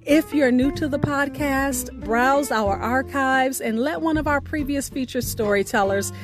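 Slow, high-voiced woman podcast host. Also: music in the background. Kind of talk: scripted podcast sign-off with a recited poem.

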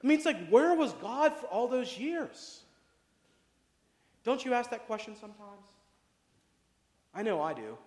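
A pastor preaching a casual sermon, chatty and jokey, full of asides and questions to the congregation. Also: male voice, very high-pitched, sing-song, unhurried, long pauses, darkish tone. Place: church sanctuary. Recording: echoey hall with long reverb.

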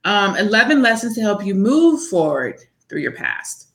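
A woman's voice: mid-range voice, normal speed. A woman in her forties talking earnestly as a guest about her book, often restarting and repeating words as she builds up steam. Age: 40s. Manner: earnest, faith-driven, repeats words when excited. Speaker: author and guest. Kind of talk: casual interview chat.

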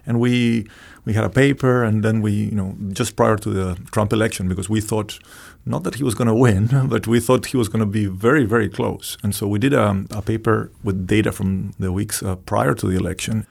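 Gravelly, husky Argentine man explaining. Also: fairly low pitch, fairly even intonation, a little fast, hardly any pauses, a little mellow. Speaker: economics professor, interview guest. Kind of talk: explanatory interview talk.

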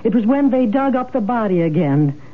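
An actress playing a bitter, sharp-tongued wife, with a pointed, accusing delivery in 1970s radio drama style. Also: female voice, a little high, sing-song, normal speed. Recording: tinny, band-limited old radio broadcast.

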